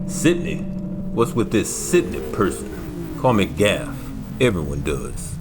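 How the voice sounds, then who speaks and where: A griping, wisecracking cartoon sidekick, voiced by a man. His voice is lowish and very animated, folksy and wry, with clear music underneath. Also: slow pace, exaggerated voice. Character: wisecracking, kvetching American pilot sidekick.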